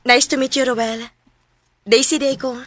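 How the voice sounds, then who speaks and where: A high-voiced, dutiful young Cebuana. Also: female voice, steady tone, measured pace, heavy background noise. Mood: friendly. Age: young adult. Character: hardworking, self-sacrificing young female breadwinner telling her story. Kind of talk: radio drama acting and narration.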